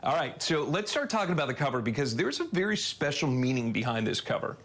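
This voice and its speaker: A middle-aged man anchoring a TV studio interview, friendly, with a few halting restarts. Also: mid-range voice, sing-song, creaky, fairly bright tone, breathy edge. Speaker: news anchor.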